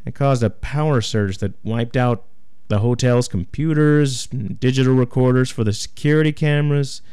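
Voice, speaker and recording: A man playing a businesslike small-town policeman going briskly through case details. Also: medium pitch, dark tone, clean tone, no echo, studio quality, lightly acted.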